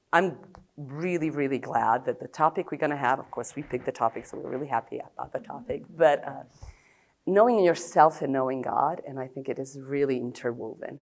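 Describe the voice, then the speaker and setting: A woman in her fifties from Serbia with an American-tinged accent, a psychologist giving a blunt, open conference talk with many pauses and fillers. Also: extremely low pitch. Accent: American-tinged English.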